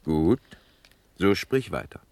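An actor playing an impatient, earthy officer and blunt friend in a classical verse radio drama, brusque, exasperated and teasing. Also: male voice, deep voice, expressive, slow, darkish tone, no room sound, heavily acted.

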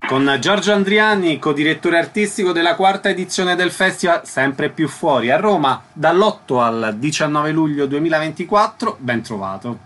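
A man's voice: a little high, animated, moderate pace, few pauses, fairly bright tone. A man hosting a radio interview, with concise, formal, scripted questions and introductions.

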